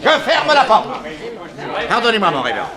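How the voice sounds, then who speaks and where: An actor playing a pompous, slow-witted police inspector who blusters and gets flustered in a 1960s French radio crime drama. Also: male voice, sits high, huge pitch swings, a crowd in the background, heavily acted. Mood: exasperated, shrill.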